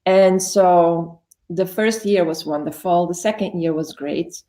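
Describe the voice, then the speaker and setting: A woman's voice: fairly low pitch, a little slow, dark tone. Chatty woman in her fifties. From Belgium. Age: 50s. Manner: chatty, self-correcting, many "like" fillers. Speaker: interview guest. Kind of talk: casual interview, life story.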